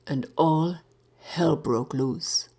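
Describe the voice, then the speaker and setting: Low-voiced woman storyteller, slow and mellow. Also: creaky, slightly rough. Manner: deliberate, theatrical, breaking into hushed phrases. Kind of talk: dramatic first-person story narration.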